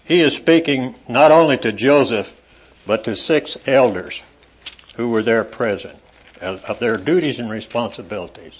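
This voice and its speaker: Husky-voiced man preaching from scripture. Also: medium pitch. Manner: halting, many fillers, rises in emphasis when reading scripture. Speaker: lay preacher. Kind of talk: scripture reading and sermon. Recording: dull lo-fi recording.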